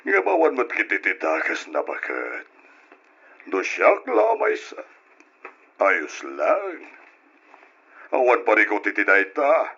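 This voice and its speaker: An actor playing an older, easygoing father and husband in a radio drama, hearty and animated, often chuckling. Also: on the low side, takes it slowly, gravelly, long pauses, slightly rough, exaggerated voice. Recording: lo-fi old radio drama recording.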